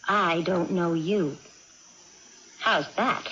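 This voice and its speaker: A woman's voice: lowish voice, drawn-out words, sharp tone, full character voice. An actress playing a bewildered, childlike young woman with amnesia in a 1980s radio drama, blunt and full of naive questions. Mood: naive wonder. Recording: muffled old radio broadcast, faint noise.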